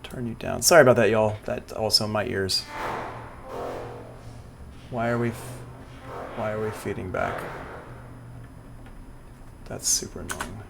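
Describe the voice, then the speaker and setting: A man teaching music production in a live software tutorial, talking casually and thinking aloud as he works. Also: fairly low pitch, measured pace, creaky, long pauses. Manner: casual, informal. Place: indoors, livestream class.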